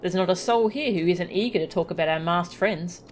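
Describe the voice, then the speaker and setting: A woman voicing an earnest, cautious scholar from a video game with formal, old-fashioned, measured speech. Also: fairly low pitch, slight background noise, close to an everyday voice. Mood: thoughtful, cautious. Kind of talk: reading video game dialogue aloud.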